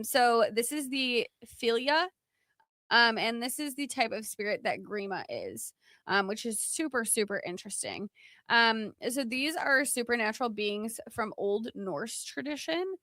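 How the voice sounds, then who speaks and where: A woman's voice: on the high side, bright, forceful tone, no room sound, studio quality. A woman co-hosting a podcast, explaining folklore from notes in an informal, chatty way. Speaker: podcast co-host.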